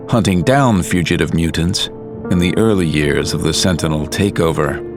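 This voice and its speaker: A man narrating a story in a calm, measured, scripted way. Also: deep voice. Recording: music under narration.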